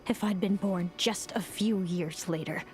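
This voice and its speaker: A woman voicing a bitter, weary young seer in a video game, speaking in a measured, cold, world-weary way. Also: on the low side, a little mellow, faint music behind, close to an everyday voice. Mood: cold resentment.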